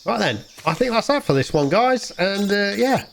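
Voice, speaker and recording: A man's voice: high-pitched, sing-song, normal speed, creaky, fairly bright tone, a little hiss or hum. A man hosting a gaming channel, giving casual gameplay commentary in an informal, rambling way. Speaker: gaming YouTuber, host.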